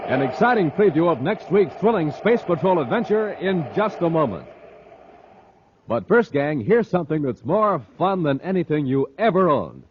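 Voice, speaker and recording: An American man announcing a 1950s radio show in an enthusiastic, emphatic sales-pitch style. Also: very animated, moderate pace, slight background noise. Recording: dull vintage radio transcription.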